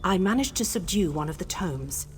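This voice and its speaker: A woman voicing an earnest, composed scholar in a video game, with a measured, formal way of explaining things. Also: on the low side, expressive, faint music behind, natural voice. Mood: intent, explanatory. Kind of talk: video game character acting.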